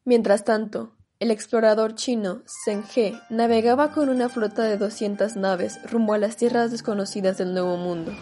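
A woman reads scripted radio drama narration in a measured storytelling style. Her voice is mellow and mid-pitched, with faint music underneath. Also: steady tone.

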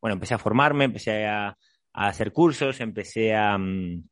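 A middle-aged man chatting casually as he introduces things, in a halting, rambling way, often restarting his phrases. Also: fairly low pitch, a bit bright, dry recording, clean studio sound. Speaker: host, marine mammal trainer.

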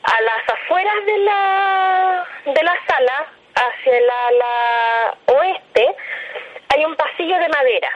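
Chilean woman, higher-pitched, on a dull recording. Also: animated, takes it slowly, a little hiss or hum. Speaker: interviewed hospital night-shift worker. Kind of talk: recounting a personal experience in an interview.

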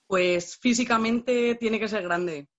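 A woman's voice: a little high, takes it slowly, a bit bright, lo-fi sound. A young woman from La Mancha with a Castilian Spanish accent, chatting casually and candidly in colloquial language. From La Mancha, Spain. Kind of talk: casual chat.

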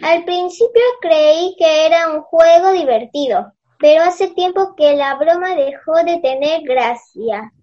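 A woman's voice: high-pitched, a little slow. A female performer playing a young schoolgirl who narrates a classroom story in a school radio play, in a dull home recording. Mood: storytelling.

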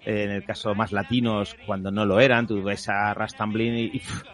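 A man's voice: on the low side, little pitch movement, normal speed, fairly bright tone. A man talking casually about a classic film, eager to share trivia and anecdotes.

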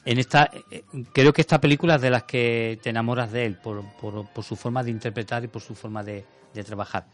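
A man's voice: mid-range voice, steady tone, brisk, hardly any pauses. Man, radio film chat, thoughtful, rambling, faint music behind. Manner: thoughtful, rambling, with many restarts. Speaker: film commentator on a radio show. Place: radio studio.

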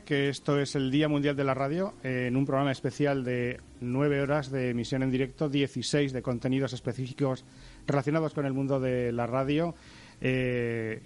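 A man's voice: mid-range voice, a little mellow. A man with an accent from Spain presenting live radio as host. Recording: faint background noise.

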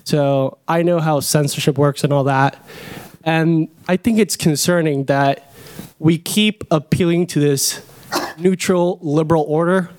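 A man's voice: fairly high pitch, fairly even intonation, measured pace, darkish tone, smooth. A young man from Venezuela, a student putting a question to a panel from the audience. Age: young adult.

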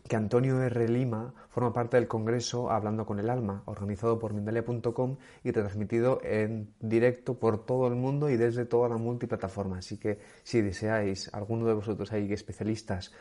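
A man with a Spanish accent from Spain, hosting an interview. His voice is low and mellow, warm and unhurried. He talks in a friendly, halting way, full of fillers. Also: little pitch movement. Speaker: interview host.

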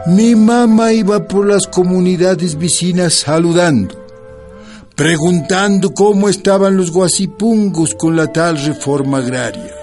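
Grown son as narrator, slow measured delivery, solemn, affectionate, radio drama, faint music underneath. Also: male voice, high-pitched, darkish tone. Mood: fond, solemn.

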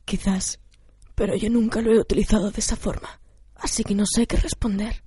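A woman playing a cold, brooding, protective death god in a radio drama, speaking in a measured, solemn way. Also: mid-range voice, level tone, warm tone, natural voice. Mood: measured, guarded. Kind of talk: radio drama adaptation of anime. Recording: long cavernous reverb, music behind.

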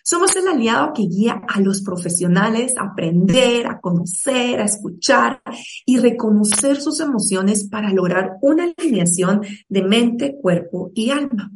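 A woman's voice: on the high side, animated, measured pace. Woman giving a pep talk to the chat, persuasive, sounds a bit far off the mic. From Guatemala. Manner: addresses the listener directly, persuasive and encouraging. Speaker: speaker giving an online talk. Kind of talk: motivational talk to a live chat audience.

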